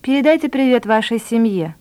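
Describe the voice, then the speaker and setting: A woman reading out translations of short phrases in a lesson, one phrase at a time, in a clear and measured way. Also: on the high side, lively intonation, mellow. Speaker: language teacher giving translations.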